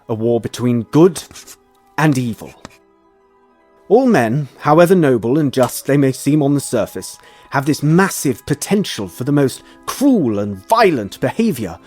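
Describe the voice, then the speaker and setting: An actor playing a driven, earnest Victorian scientist in a period drama, speaking formally and with rhetorical intensity. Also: male voice, medium pitch, a little mellow. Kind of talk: theatrical period audio drama acting.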